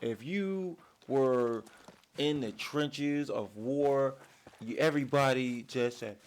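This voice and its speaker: Raspy sick dude ranting n swearing from his man cave, gravelly voice. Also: mid-range voice, slow. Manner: profane, heated rant. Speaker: channel host. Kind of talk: casual ranting commentary.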